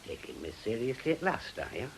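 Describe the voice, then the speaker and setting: An actor playing a bitter, fearful older widower in a British radio drama, sardonic and emphatic, halting when troubled. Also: male voice, medium pitch, big pitch swings, slightly rough. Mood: scornful, bitter.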